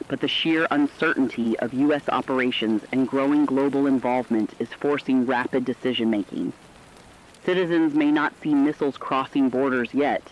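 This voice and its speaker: A man's voice: mid-range voice, lo-fi sound, a little hiss or hum, natural voice. A man voicing a serious news analyst in a radio crisis broadcast, explaining events in formal scripted speech.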